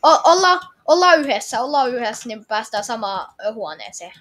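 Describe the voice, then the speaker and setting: A woman chatting casually while playing an online game with others, excitable and often repeating short phrases and exclamations. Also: a little high.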